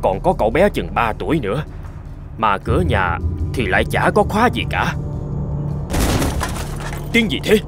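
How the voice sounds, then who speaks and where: Give this cartoon husband , played by a man, a middle-aged, easily alarmed character. He is curious and exclamatory, often muttering to himself. His pitch moves in lively swings over soft background music. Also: moderate pace, pauses often, close to an everyday voice. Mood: puzzled, uneasy. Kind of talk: animated cartoon voice acting.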